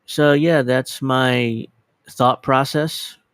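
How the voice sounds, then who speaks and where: A man in his thirties hosts a casual livestream in a clear, smooth voice at a middling pitch. He rambles in a scattered, self-deprecating way and swears casually. The sound is dry and close on a dedicated microphone. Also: steady tone, extremely slow, a bit bright, no echo. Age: 30s. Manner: casually profane. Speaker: livestream host.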